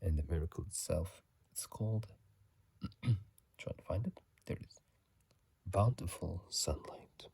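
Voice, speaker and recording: A man doing whispered ASMR commentary on a video game, soft and breathy, musing in a halting, casual way, close to a phone mic. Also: deep voice. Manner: halting, musing, unhurried delivery. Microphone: close phone microphone.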